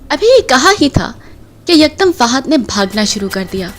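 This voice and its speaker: Woman narrating over loud background noise. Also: sits high, very animated, measured pace. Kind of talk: scripted horror audio drama narration. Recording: noisy track with loud ambience.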